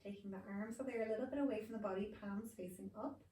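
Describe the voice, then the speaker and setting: A woman teaching a yoga class, giving calm, slow, soothing cues while she is losing her voice. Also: mid-range voice. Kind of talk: guided yoga instruction. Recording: roomy, distant mic, faint ambience.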